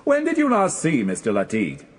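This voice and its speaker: An actor playing a stern, relentless British prosecuting barrister in a radio drama, questioning formally and deliberately. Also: male voice, a little high, huge pitch swings. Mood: accusing.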